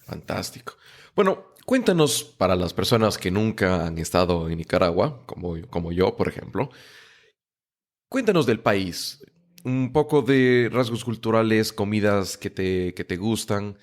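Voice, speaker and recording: A man's voice: fairly low pitch, lively intonation, unhurried. A man hosting a podcast and interviewing a guest, friendly and stumbling over words now and then. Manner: friendly, repeats words and restarts often. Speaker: podcast host.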